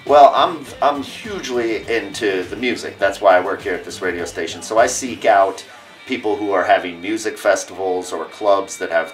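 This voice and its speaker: A man in his thirties speaks in a low voice, recorded a little way from the mic. He talks as a radio staffer being interviewed for a documentary, with faint music behind him. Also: far from the mic. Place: indoors on a staircase.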